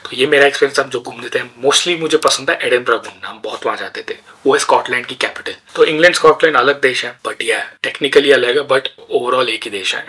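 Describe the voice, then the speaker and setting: A young man from India telling stories about his student days abroad in a chatty, opinionated way, with many filler pauses. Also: mid-range voice, sharp tone, breathy edge. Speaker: podcast host. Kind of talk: casual storytelling monologue.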